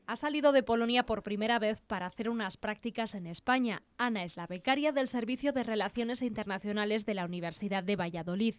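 A woman narrating a news report as a scripted voice-over, crisp and even. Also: medium pitch, fast.

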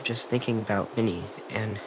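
A man voicing a timid, guilt-ridden gentle young boy in a video game, hesitant and apologetic. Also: on the low side, steady tone, moderate pace, noisy background, lightly acted. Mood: sad, subdued. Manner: hesitant, soft-spoken, apologetic. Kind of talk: naturalistic video game dialogue acting. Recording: clear outdoor stream ambience.

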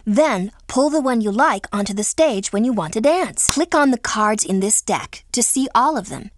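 Woman playing a high-pitched friendly teen girl game guide. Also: lively intonation, moderate pace, slight background noise, lightly acted. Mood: upbeat, helpful. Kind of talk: scripted video game instructions.

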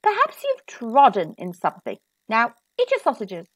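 A giant's wife from a storybook played by a woman reader, practical and unimpressed. She is brisk and dismissive in manner. The voice sits on the high side and is closely, dryly recorded. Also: wildly swooping pitch, slightly rough, dry recording, full character voice. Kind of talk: children's storybook character voice.

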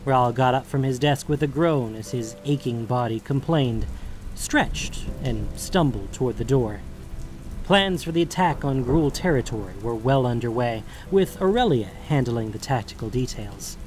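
A man's voice: medium pitch, animated, normal speed, a little mellow, slight background noise. A man reading scripted narration for a fantasy audio drama. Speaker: narrator and podcast host.

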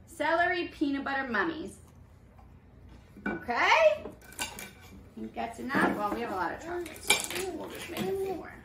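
A woman's voice: high voice, very animated, normal speed, vocal fry, pauses often. A woman, giving a playful, sing-song cooking demonstration for young children. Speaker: preschool teacher hosting a children's cooking class. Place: small indoor playroom kitchen set. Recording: echoey room with some background noise.

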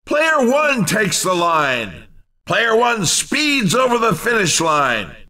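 An excitable, cheesy racing game commentator with a very high, bright and forceful voice. He swings his pitch widely and punches key words emphatically in true arcade sports style. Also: slow, distant mic, heavily acted. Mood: excited. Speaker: race commentator.

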